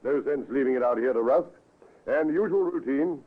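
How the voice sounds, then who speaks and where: An actor playing a brisk, skeptical police superintendent in clipped, questioning old-time radio drama style. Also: male voice, medium pitch, natural voice. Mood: measured, thoughtful. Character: brisk, skeptical British police superintendent, courteous but authoritative. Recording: muffled vintage radio recording, narrow band.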